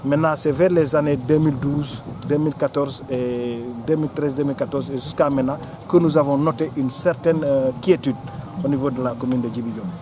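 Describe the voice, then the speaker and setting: A Senegalese man gives an interview in a mid-pitched voice. He speaks haltingly, often repeating small words while he looks for the next phrase. Music is clearly audible behind him. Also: steady tone. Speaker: local official or forum organiser. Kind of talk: interview answer recounting local history.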